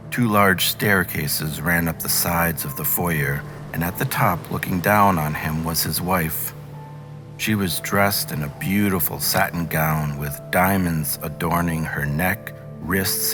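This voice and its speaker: A man narrates a scripted fairy tale as a read-aloud storyteller. His voice sits low, and music plays clearly behind it.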